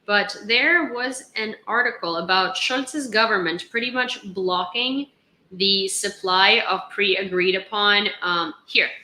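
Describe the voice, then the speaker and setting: A woman's voice: on the high side, sharp tone, reverberant, a little hiss or hum, poor audio. A young woman from Ukraine hosting a casual news live stream, reading headlines and chatting with viewers. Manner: chatty, laughs often, reads headlines aloud.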